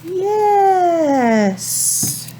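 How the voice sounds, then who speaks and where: An American woman teaching young children a simple lesson in a sing-song, questioning way with pauses. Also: very high-pitched, big pitch swings, noisy background. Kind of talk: children's calendar and weather lesson.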